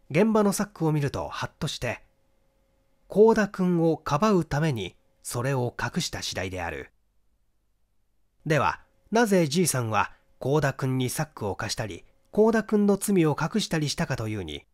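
Lively man reading a detective story. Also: on the high side. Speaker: audiobook reader. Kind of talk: scripted literary narration of a detective story.

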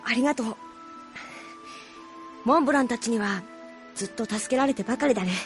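A gentle young boy hero acted by a woman in a fantasy audio drama. The voice is high and sincere, soft-hearted yet earnest. Faint music sits behind it. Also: brisk, lightly acted. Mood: warm, sincere. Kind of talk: Japanese audio drama acting.

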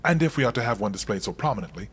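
Husky, pompous henchman. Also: on the low side, expressive, rapid-fire, in a large hall. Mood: haughty. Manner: pompous, precise wording. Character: formal, pompous male criminal right-hand man. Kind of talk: cinematic video game acting.

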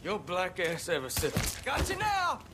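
An actor playing a sneering, menacing deputy thug in a video game, taunting and aggressive with a drawl. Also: male voice, high-pitched, huge pitch swings, measured pace, heavy background noise, full character voice.

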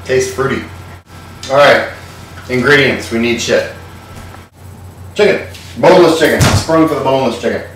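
A man's voice: medium pitch, moderate pace, pauses often, a bit bright, very echoey, distant mic, a little hiss or hum, rough recording. A man hosting a comedic home cooking show, talking in a sardonic, irreverent way and swearing casually, in a home kitchen. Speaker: host.

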